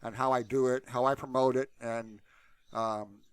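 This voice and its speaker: A man's voice: mid-range voice, steady tone, normal speed, smooth, no echo. A man hosting a tutorial channel, talking casually and off the cuff in a blunt, rambling, colloquial way into a close mic. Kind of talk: casual unscripted screen-share talk.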